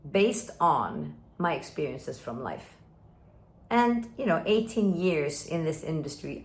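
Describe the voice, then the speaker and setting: Woman in her 50s, from Sweden, American-tinged English accent, low voice, earnest coach, echoey room. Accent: American-influenced English. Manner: earnest, emphatic, acts out inner voices. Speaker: relationship coach. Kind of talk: direct-to-camera coaching talk. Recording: roomy echo.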